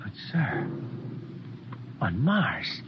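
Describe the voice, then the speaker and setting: An actor playing an eager, nervous junior spaceship officer in an old-time radio science fiction drama, deferential and halting. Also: male voice, fairly low pitch, wildly swooping pitch, heavily acted. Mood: amazed, earnest. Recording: muffled, noisy vintage radio recording.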